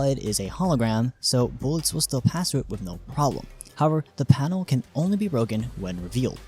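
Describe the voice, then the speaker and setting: A man's voice: mid-range voice, darkish tone. Man narrating a game explainer over music. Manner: explanatory, informal asides. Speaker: video creator and host. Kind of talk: scripted explainer narration about a game character concept. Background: music behind the voice.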